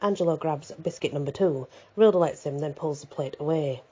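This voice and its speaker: A woman's voice: sits low, a little fast, warm tone, husky, dull audio. A woman narrating an audiobook, telling a first-person story as a reader.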